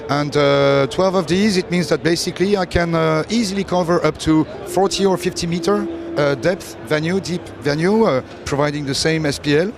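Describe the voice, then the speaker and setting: A man giving an unscripted, technical product presentation as a company representative, explaining things in a halting way. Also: a little high, music in the background. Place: exhibition stand at a trade show. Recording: busy trade-show hall with crowd murmur.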